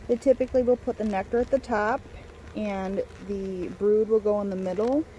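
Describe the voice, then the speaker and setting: Female beekeeper, casual hands-on explainer, medium pitch, outdoor take with bees buzzing loudly behind her. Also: steady tone, moderate pace, a little hiss or hum, poor audio. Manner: chatty, explains as she works. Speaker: beekeeper, co-host. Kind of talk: casual explaining during a hive inspection. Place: outdoors at a backyard beehive. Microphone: handheld camera mic, crinkling gloves.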